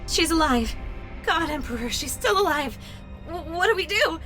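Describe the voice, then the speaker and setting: A woman voicing a panicked young sister in an audio drama, with a very high, shaky, pleading voice. Music plays clearly behind her. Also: animated, normal speed.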